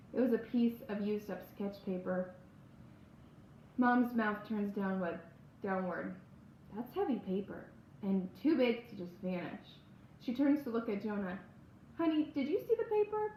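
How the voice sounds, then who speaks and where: A woman reads a spooky first-person children's novel aloud in a dramatic, expressive storytelling manner. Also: mid-range voice, moderate pace. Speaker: audiobook-style reader. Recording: roomy echo, faint background noise.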